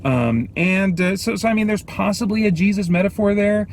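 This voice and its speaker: American male vlog reviewer, slightly high voice, conversational and halting, light background noise. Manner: halting, thinking aloud, self-interrupting. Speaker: movie reviewer and host. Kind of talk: casual off-the-cuff review vlog. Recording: clear background noise, ambient sound.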